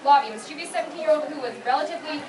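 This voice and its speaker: Young female narrator, high voice, reading prose live at a panel, noisy distant recording. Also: racing, crowd noise. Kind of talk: scripted narration, fan fiction read aloud. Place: convention panel room. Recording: echoey noisy room, far from mic.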